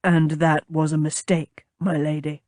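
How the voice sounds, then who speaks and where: A woman voicing a stiff, pompous male captain in an audiobook, with a low growly tone and a clipped, exasperated, formal manner. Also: flat delivery, unhurried, a little mellow, no room sound, studio quality. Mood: stern, curt. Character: gruff, pompous man, a stiff captain and bodyguard.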